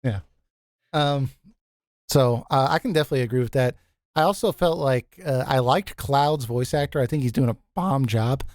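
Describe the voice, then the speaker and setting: Deep-voiced man, dry close sound. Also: moderate pace, a little mellow, dry recording. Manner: easygoing, laughs often, restarts sentences. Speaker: podcast host. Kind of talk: casual group chat.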